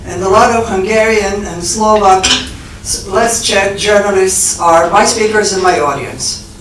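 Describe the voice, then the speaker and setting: A woman's voice: mid-range voice, unhurried, noisy background. A woman from Serbia asking a panel provocative questions at a conference, hesitating with fillers. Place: conference hall. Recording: roomy hall, far from the mic.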